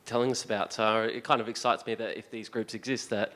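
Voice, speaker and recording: A man with a fairly low voice talks in an even, flat tone with little rise and fall. He shares his thoughts casually and a little tentatively. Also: quick pace. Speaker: workshop participant.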